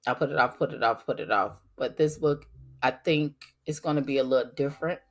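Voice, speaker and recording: Woman, middle-aged, low-pitched, raspy with a cold, earnest, emphatic, faith podcast monologue. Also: fairly quick. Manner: earnest, emphatic, talks straight to listeners. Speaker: podcast host.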